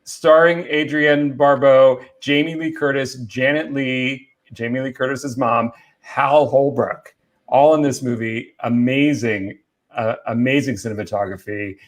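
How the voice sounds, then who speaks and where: A man's voice: mid-range voice, fairly even intonation, unhurried. A man co-hosting a casual film talk among friends, speaking in a chatty, enthusiastic way. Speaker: podcast co-host.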